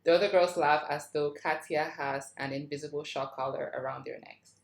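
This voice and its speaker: A woman's voice: sits low, fairly even intonation, normal speed, vocal fry. A woman narrator reading screenplay stage directions aloud. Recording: roomy, echoing sound.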